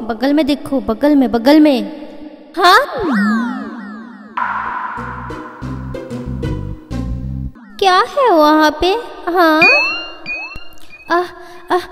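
A woman's voice: lively intonation, slow pace, music in the background. A woman voicing a vain, chatty female stork who fusses over her makeup and pleads in a whiny, dramatic way. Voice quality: very high shrill cartoon voice. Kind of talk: cartoon voice acting.

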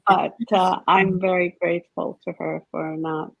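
A woman's voice: lowish voice, level tone, a little slow, vocal fry, no echo. A middle-aged woman from Egypt with a slight Egyptian Arabic accent, speaking as a guest on a video call and often restarting her phrases. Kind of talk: casual video-call interview. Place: home office. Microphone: webcam on a video call. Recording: dull, lo-fi video-call audio.

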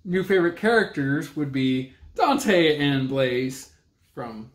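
A man's voice: a little high, lively intonation, slow pace. A man in his thirties in casual, teasing banter as a book vlog co-host, recorded in a room at home. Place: small room at home, indoors. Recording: roomy echo from camera distance.

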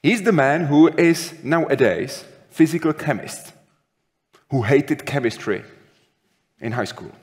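A man's voice: a little high, animated, a little slow, pauses often. A young man acting as moderator, introducing a speaker in a dramatic, rehearsed way in a large hall. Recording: long reverb of a large hall.

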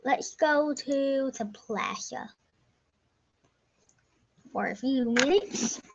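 A young woman talks slowly over her gameplay, her voice a little high and unhurried. She rambles and corrects herself, now and then sinking to a whisper. The home mic sounds dull and lo-fi. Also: vocal fry, a little hiss or hum. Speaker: gameplay narrator. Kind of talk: casual game commentary. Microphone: desk microphone.

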